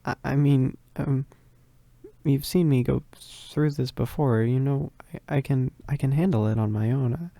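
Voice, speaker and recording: A man playing a shy, needy, gentle werewolf roommate in an intimate ASMR roleplay, hesitant and stammering. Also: mid-range voice, a little slow, vocal fry, no echo. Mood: flustered, grateful. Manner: hesitant, stammering, bashful with soft chuckles.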